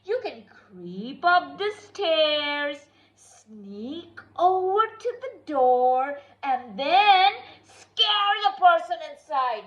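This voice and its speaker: A woman reading a storybook aloud to young children in an expressive, drawn-out way. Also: high voice, highs cut off. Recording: echoey room.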